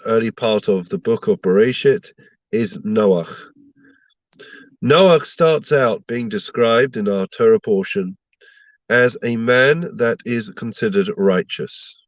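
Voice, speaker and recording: A man's voice: medium pitch, dry recording, lo-fi sound. A man giving an online Torah lesson to viewers, sounding stuffed up from a slight cold and teaching through rhetorical questions. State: blocked up with a cold. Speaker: rabbi giving a Torah class.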